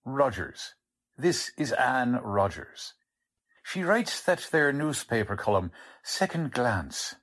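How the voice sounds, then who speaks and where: A male actor plays a gruff police sergeant in a 1940s-style radio drama. His husky voice takes its time but swings widely in pitch. He is blunt, skeptical and talks in folksy cop slang. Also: sing-song, slow, fairly bright tone, heavily acted. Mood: matter-of-fact. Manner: blunt, folksy working-class cop talk.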